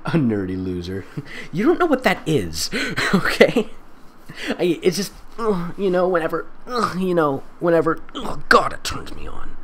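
A man's voice: fairly high pitch, big pitch swings, unhurried, fairly bright tone, a little hiss or hum. A man doing a comedic fan fiction reading with sarcastic, exasperated, theatrical commentary. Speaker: voice actor and fan fiction reader.

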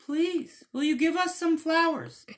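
A man voicing timid but defiant little storybook wolves in a squeaky falsetto with a pleading, sing-song manner. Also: a little slow, fairly bright tone. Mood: earnest, pleading.

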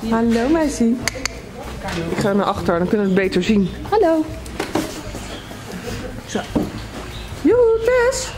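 A woman's voice: on the high side, huge pitch swings, a little slow, long pauses. A woman filming her daughter riding, talking casually to the camera and coaching the child along. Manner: encouraging, coaching a young rider. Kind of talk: casual vlog narration.

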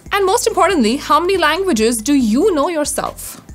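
A woman's voice: high voice, animated, normal speed, fairly bright tone. A woman in her thirties with an Indian accent, hosting an interview in a conversational style. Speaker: interviewer, content creator. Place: indoor studio-style office set.

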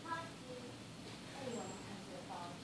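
A woman's voice: mid-range voice, very animated, moderate pace, recorded from a distance, natural voice. Meek secretary played by a woman, very noisy recording. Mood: hesitant, apologetic. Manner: hesitant, deferential, polite. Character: meek, apologetic, dutiful female secretary. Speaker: stage actor. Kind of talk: live stage play acting. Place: indoor theatre stage. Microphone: distant audience camera. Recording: very noisy, echoey theatre recording from afar.

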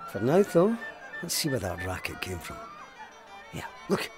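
Grim scottish cop sergeant, bossy and formal, very up and down, music under it, radio play. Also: male voice, on the low side, big pitch swings, measured pace. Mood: wary. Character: stern, haunted police sergeant, grimly suspicious and commanding. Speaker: actor in audio drama. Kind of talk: full-cast audio drama acting.

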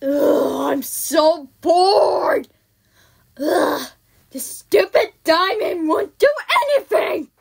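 Bossy cartoon villainess, played by a woman, high-pitched, whiny, bratty, drawn-out words. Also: expressive, gravelly, heavily acted. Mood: whiny boredom.

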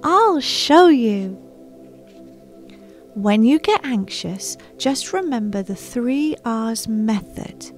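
A woman's voice: on the high side, expressive, takes it slowly, faint music behind. A woman voicing a gritty, reassuring male ninja mentor from a storybook, emphatic and instructive.

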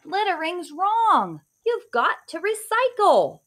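A cheerful green-caped superhero boy from a picture book, as a woman reading aloud puts him on. He talks high and bright, with big lively swoops of pitch, urging and upbeat. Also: slow, exaggerated voice. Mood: eager. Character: eager young male green-caped recycling superhero.

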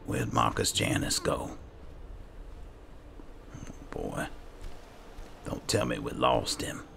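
Very deep, gravelly man playing a game. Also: sing-song, slow pace. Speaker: gaming channel host. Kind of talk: roleplay gameplay commentary. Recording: clear background game noise.

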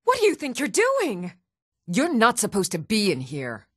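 An actress playing a steady, firm young woman in a fantasy video game, speaking with measured, earnest delivery. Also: on the high side, husky, dry recording, clean studio sound, heavily acted. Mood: alarmed. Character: even-toned young adult woman of a fantasy world, steady and stern.

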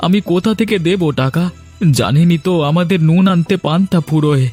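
A man's voice: fairly high pitch, fairly quick, darkish tone, faint music behind, close to an everyday voice. A man voicing a humble, weary labourer in a cartoon story, deferential and pleading.

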